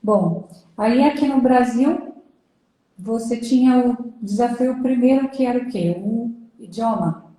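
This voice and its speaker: Older Brazilian woman interviewer, mellow tone, heavy room echo on a video call. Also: fairly high pitch, a little slow. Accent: Brazilian Portuguese. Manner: warm, encouraging, with frequent backchannel murmurs. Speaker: interviewer, retired judge and lawyer. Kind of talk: conversational interview. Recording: very echoey room.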